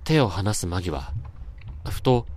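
A man's voice: sits low, big pitch swings, takes it slowly, darkish tone, full character voice. A man acting in a radio drama as a sleepless, uneasy young office worker haunted by nightmares, narrating and speaking politely to colleagues. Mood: haunted, reflective.

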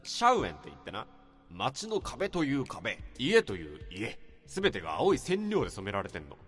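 A man's voice: fairly low pitch, wildly swooping pitch, moderate pace, a bit bright, husky, faint music behind, full character voice. An actor playing a desperate male office senior in a radio drama, who breaks down as he confesses. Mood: wistful. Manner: breaks down sobbing and wailing. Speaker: older coworker who confesses to a killing.

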